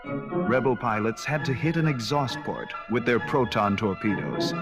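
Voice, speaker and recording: A man's voice: mid-range voice, animated, a little slow. A man narrating a scripted children's adventure story in a dramatic read-along style. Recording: 1979 cassette, loud music underneath.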